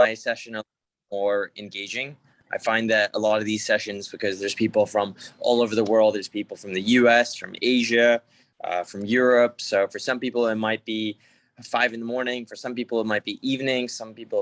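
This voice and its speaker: A man's voice: on the low side, steady tone, a little fast, dry recording. Chatty man speaking on a video call. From Hong Kong. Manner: chatty, informal, many fillers and restarts. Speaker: conference speaker. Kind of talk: casual online presentation.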